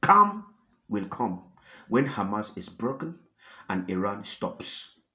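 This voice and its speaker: A man voicing grave, blunt male world leaders in a retold phone call, speaking firmly and deliberately. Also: fairly low pitch, sing-song, sharp tone, exaggerated voice. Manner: heavy, deliberate, firm.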